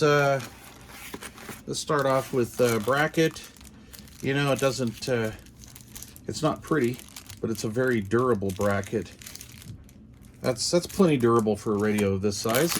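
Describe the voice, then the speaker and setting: Casual man reviewing gear over background noise. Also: medium pitch. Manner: informal, musing aloud in short phrases. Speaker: host of a radio gear channel. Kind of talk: casual unboxing and product commentary.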